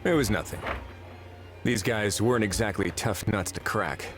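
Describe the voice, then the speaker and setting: A man plays a laid-back, unassuming helper in a fantasy game. His voice sits fairly low. Loud music plays behind him. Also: moderate pace, close to an everyday voice.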